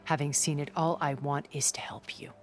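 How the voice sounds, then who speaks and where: An actress playing a poised, persuasive immortal older woman with measured, elegant phrasing in a cinematic video game. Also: low-pitched, steady tone, faint music behind. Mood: earnest, admiring.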